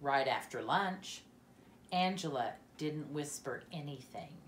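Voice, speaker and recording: A woman reading a children's storybook aloud in an expressive, deliberate manner, softening at the ends of dialogue. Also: extremely low pitch, reverberant, slight background noise.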